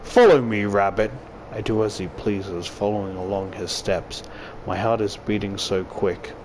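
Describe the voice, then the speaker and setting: A man's voice: deep voice, flat delivery, normal speed, background noise. A man reading first-person visual novel narration aloud as a YouTuber.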